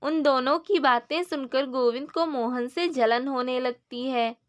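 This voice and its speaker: A woman's voice: high-pitched, unhurried. A woman narrating a scripted moral story for children in storybook style.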